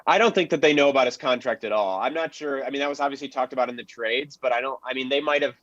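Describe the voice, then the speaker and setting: A man's voice: mid-range voice, fast, a bit bright, dry recording. A man speaking as a history panelist in an enthusiastic, explanatory way during a discussion. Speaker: panelist, film and radio historian. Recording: dull lo-fi audio, dry.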